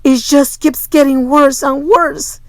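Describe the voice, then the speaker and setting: Casting: worried storybook mom, played by a woman reader, raised pitch, pleading and distraught. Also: fairly even intonation, takes it slowly, no echo. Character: distraught mother, wailing and worried.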